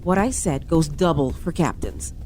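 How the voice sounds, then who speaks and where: An actress playing a righteous, stubborn young starship commander in a science fiction audio drama, emphatic and rigid in manner. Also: female voice, sits low, moderate pace, a little mellow, slight background noise, natural voice. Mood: indignant, self-righteous.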